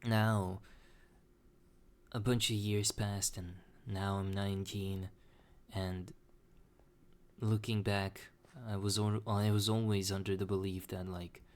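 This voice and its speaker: A young man talking slowly and hesitantly in a personal confessional monologue, with many restarts. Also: on the low side, flat delivery, a little mellow. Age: young adult. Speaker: vlogger.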